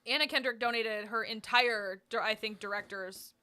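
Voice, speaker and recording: A woman co-hosting a casual chat, relaxed and talkative, retelling scenes with quoted asides. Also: a little high, moderate pace, smooth. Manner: relaxed, chatty, tells stories with quoted asides. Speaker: podcast co-host. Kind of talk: casual chat while building.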